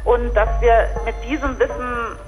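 A man's voice: very high voice, steady tone, measured pace, faint music behind. A man making an earnest statement with emphatic repetition. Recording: dull, reverberant recording.

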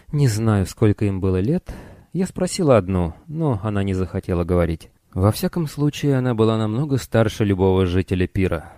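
A man's voice: fairly low pitch, warm tone, no room sound, studio quality. A man voicing a frank, curious starship pilot, a woman of almost twenty, earnest and matter-of-fact, in a science fiction audiobook.

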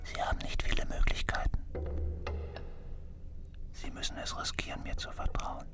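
An actor in a radio crime drama playing a menacing man who coaxes with deliberate threats. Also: whispered, loud music behind the voice, close to an everyday voice. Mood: whispered urging. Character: menacing, hypnotic man offering a shady bargain.

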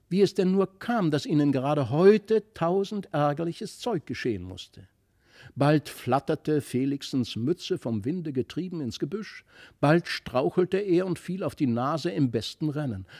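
A male narrator reads a classic tale with a mellow, dark-edged tone. His delivery is careful and expressive, and the recording is close and dry. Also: fairly high pitch, no echo. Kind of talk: expressive literary audiobook storytelling.

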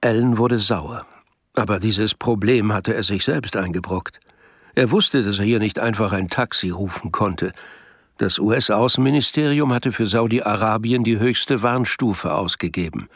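A man's voice: lowish voice, unhurried, breathy edge, dry recording, studio quality. A man reading scripted literary narration for a radio play.

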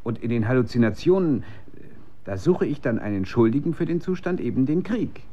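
A man's voice: medium pitch, very animated, brisk, lo-fi sound. A man acting in a German radio drama as a troubled, principled research scientist, speaking in an earnest, searching way. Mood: unsettled, explaining.

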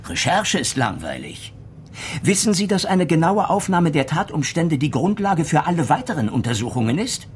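An actor playing a gruff, sardonic older retired police inspector in a German radio crime play, with a commanding, sarcastic manner. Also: male voice, on the high side, sing-song, moderate pace, a little hiss or hum. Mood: irritated, commanding.

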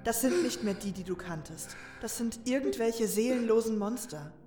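A woman's voice: a little high, expressive, moderate pace, natural voice. A woman playing a resolute, comforting female warrior in an audio drama, speaking in an earnest, reassuring way. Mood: grim. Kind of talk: German fan audio drama acting. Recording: roomy echo, music underneath.

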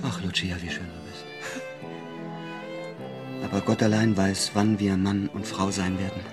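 An actor in a radio play playing a hot-headed, lovestruck young villager with an urgent, earnest manner. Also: male voice, deep voice, fairly even intonation, measured pace, over loud music. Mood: troubled, tender. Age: young adult.

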